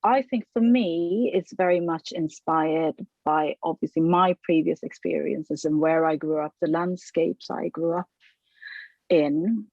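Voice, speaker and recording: A middle-aged woman from Sweden, a potter answering interview questions thoughtfully, with frequent pauses, fillers and restarts. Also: low voice, vocal fry, a little mellow, no echo, dull audio. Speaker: ceramic artist, interviewee.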